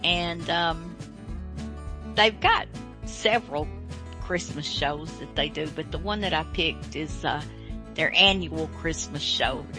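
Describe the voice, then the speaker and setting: Slow, low-voiced woman host over music. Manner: giggly, informal. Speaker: host of an old-time radio show. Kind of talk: casual chat introducing programs.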